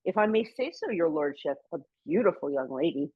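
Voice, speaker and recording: Radio comedy role: servile, tactful steward played by a woman, hesitant and expressive in pitch, slightly dull sound. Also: fairly quick, breathy edge, full character voice. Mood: deferential. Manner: obsequious, hesitant, careful politeness. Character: deferential, flattering club steward serving his lordship. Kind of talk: radio play comedy acting.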